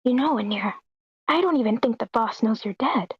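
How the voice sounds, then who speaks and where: A woman's voice: fairly high pitch, moderate pace, breathy edge. A woman playing a simple, loyal young male henchman with a deferential manner, in a script table read, through dull audio. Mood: simple, confiding.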